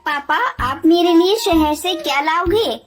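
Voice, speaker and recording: Woman as a tiny, very high-pitched cartoon bird. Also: measured pace. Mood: hopeful. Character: small female bird, earnest and kindly, cartoon fable. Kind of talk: Hindi cartoon moral story dialogue. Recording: loud children's music under voice. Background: children's music.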